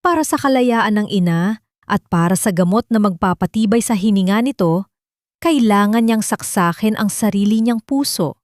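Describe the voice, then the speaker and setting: A woman's voice: medium pitch, expressive, normal speed, dry recording, studio quality. A woman narrating a scripted story in a dramatic storytelling style.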